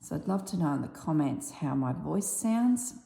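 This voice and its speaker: An Australian woman with a husky, slightly strained voice chatting casually while she stitches, softly dropping toward a whisper at times. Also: low-pitched, animated, normal speed. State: voice strained from yelling. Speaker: embroidery vlogger. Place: tiled room with little on the floor. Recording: echoey tiled room.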